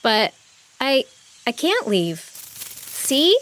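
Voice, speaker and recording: Squeaky, excitable young dragonfly princess, voiced by a woman. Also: very slow, a little hiss or hum, exaggerated voice. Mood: pleading. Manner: protesting, squeaky exclamations. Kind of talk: children's storybook character voice.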